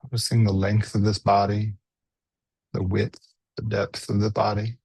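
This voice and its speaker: A man guides a body scan in a lowish, breathy-husky voice. He speaks gently, leaving room between phrases like an unhurried meditation teacher. The sound is dry, with very little room around it. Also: flat delivery, no room sound, rough recording.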